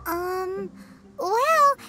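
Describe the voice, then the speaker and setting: A woman voicing a small, childlike companion in an anime game, squeaky, caring, chatty and helpful. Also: animated, extremely slow, music in the background, full character voice. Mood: sympathetic.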